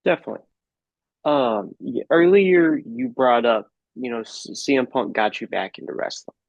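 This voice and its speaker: A man's voice: medium pitch, sing-song, normal speed, creaky, dry recording, highs cut off. A man hosting a podcast interview, asking curious, leading questions in a casual chat. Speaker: podcast host.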